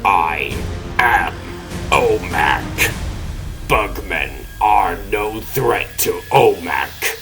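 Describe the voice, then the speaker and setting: A man playing a blunt, hulking cyborg weapon in a comic dub, making halting declarations in a gravelly voice. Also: sits low, expressive, loud music behind the voice, full character voice. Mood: blunt, stolid. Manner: halting third-person declarations.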